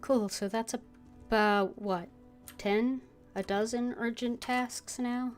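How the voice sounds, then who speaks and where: A woman's voice: on the high side, fairly even intonation, slow, faint music behind. A woman playing a game on a livestream, casually murmuring to herself as she thinks aloud and reads. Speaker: game streamer. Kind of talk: casual game livestream, voicing her character's lines.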